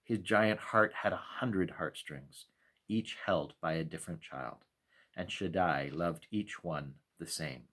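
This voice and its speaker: A man reads a children's story aloud as a pastor at storytime, in a measured, expressive way with deliberate pauses. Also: sits low. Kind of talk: storytime read-aloud narration.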